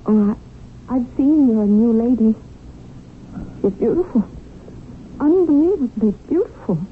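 A very high-pitched wounded, plain wife in an old radio drama. She is knowing and quietly reproachful, placing each phrase with deliberate care. The recording is dull and noisy, like an old broadcast. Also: lively intonation. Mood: knowing, needling. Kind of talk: 1970s radio drama acting.

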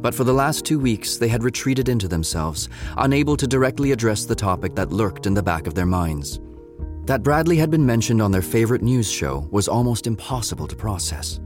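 Male narrator, lowish voice, scripted storytelling, soft music behind. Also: lively intonation, a little fast.

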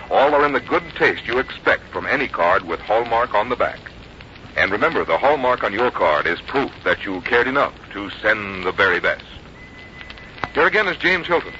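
Bright-voiced male vintage radio announcer. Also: fairly low pitch, expressive, moderate pace, a little hiss or hum. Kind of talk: scripted 1950s radio announcing and advertising. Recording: dull 1950s radio recording.

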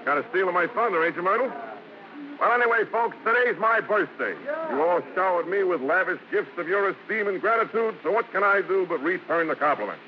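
A man's voice: high-pitched, lively intonation, a little fast. An actor playing a brash young vaudeville comedian with an American accent and slangy showman patter, in old-time radio style. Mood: showy, jovial. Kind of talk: 1940s-50s American radio acting. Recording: dull, very noisy old radio broadcast.

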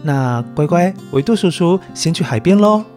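A man's voice: fairly high pitch, expressive, normal speed, music in the background. A man with a Taiwanese Mandarin accent hosting a children's story podcast, explaining things simply and coaxingly to young listeners.

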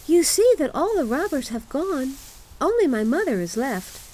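A bossy little robber girl from a storybook, voiced by a woman. She speaks high and emphatically, with lively swings of pitch and a wilful, imperious manner. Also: normal speed, slight background noise, poor audio. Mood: conspiratorial, commanding.

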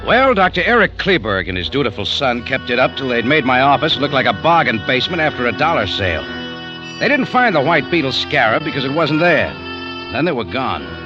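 An actor playing a hard-boiled cafe owner in a 1940s radio drama, narrating and talking in a clipped, wry way. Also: male voice, mid-range voice, big pitch swings, brisk, music in the background, natural voice. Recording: dull old radio recording.

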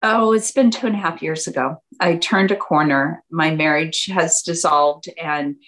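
Woman, fifties, slightly low voice, lively guest telling her story in an interview. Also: measured pace, low-quality recording. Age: 50s. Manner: animated, acts out quoted friends in a higher voice. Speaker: guest entrepreneur. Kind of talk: casual interview chat.

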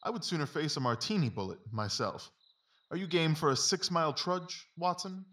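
A man plays a brisk, self-assured detective in a dramatised audiobook. His voice is forceful and a little high for a man, with clipped, commanding delivery that sounds keen and certain. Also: animated. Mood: brisk, absorbed.

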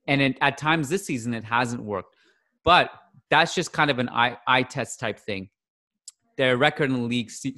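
A man's voice: medium pitch, a bit bright. Rambling man on a football podcast. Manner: rambling, self-interrupting, thinks aloud. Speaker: podcast co-host. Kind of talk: casual football podcast chat.

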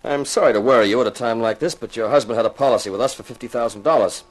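An actor playing a dry, wisecracking insurance investigator who narrates a 1950s radio detective drama. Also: male voice, mid-range voice, rapid-fire, natural voice. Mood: cool, businesslike. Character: wry, hard-boiled male insurance investigator and narrator. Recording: slightly noisy 1950s radio recording.

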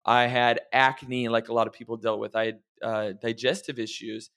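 Male health coach, middle pitch, reflective and encouraging, casual podcast host. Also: flat delivery, normal speed, fairly bright tone, clean tone. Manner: reflective, encouraging, addresses listeners directly.

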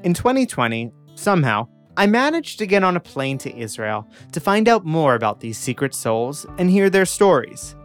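A young man hosts a podcast in a measured, reflective way, letting his pitch rise and fall freely. A soft guitar plays faintly beneath his narration. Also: sing-song. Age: young adult. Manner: reflective, measured delivery with dramatic pauses. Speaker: podcast host. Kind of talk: scripted narration and interview.

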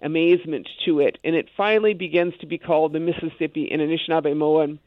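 A woman's voice: low voice, steady tone, fast talker, no echo. A woman guest explaining things conversationally on a radio talk show, emphatic and full of "you know" asides. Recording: phone-like narrow band.

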